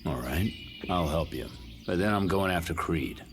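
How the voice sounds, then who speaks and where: Game hero: tough, sardonic mutant, gruff very low voice, terse lines, music behind. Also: male voice, animated, moderate pace. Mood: guarded, sardonic. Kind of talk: video game voice acting.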